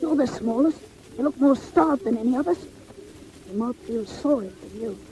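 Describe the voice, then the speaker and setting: A woman's voice: on the high side, expressive, normal speed, dark tone. A woman playing an insistent, coaxing workhouse boy in a 1940s audio drama. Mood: urgent coaxing. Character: bossy, urging workhouse orphan boy. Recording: noisy vintage 1940s record.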